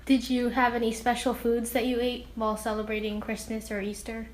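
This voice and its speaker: A woman's voice: a little high, monotone, fairly quick, darkish tone. A young woman asking short, curious questions as a student interviewer.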